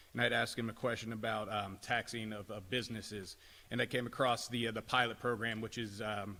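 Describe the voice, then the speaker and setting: A man asks his questions at a school board podium in a polite, hesitant way, leaning on fillers. His delivery is flat and monotone, and his tone is bright and somewhat tense. The meeting hall adds a long reverb. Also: mid-range voice. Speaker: public commenter. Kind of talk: public comment at a board meeting.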